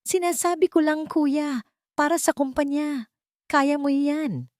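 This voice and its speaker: A woman's voice: high-pitched, measured pace, a little mellow, no room sound, clean studio sound, voice pushed up. A woman voicing a smug younger brother who feigns support in an insinuating way, in a romance story. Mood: falsely supportive. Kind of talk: acted dialogue in Tagalog romance story.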